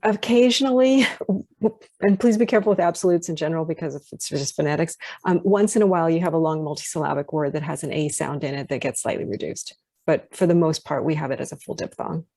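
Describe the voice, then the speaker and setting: A woman with a West Coast US accent teaching phonetics on a video call, gently correcting details and encouraging students. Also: sits low, fast talker, no echo. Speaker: phonetics instructor. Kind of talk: online class discussion.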